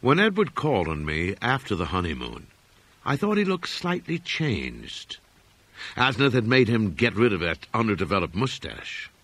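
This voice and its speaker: A man reading a gothic horror story aloud as an audiobook narrator, in a measured, formal, expressive manner. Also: fairly low pitch, no echo.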